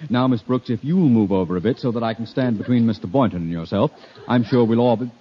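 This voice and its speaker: An actor playing a pompous middle-aged school principal with formal, indignant speech, in 1950s radio comedy style. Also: male voice, mid-range voice, fast, close to an everyday voice. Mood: flustered. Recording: muffled, noisy 1950s radio recording, live audience.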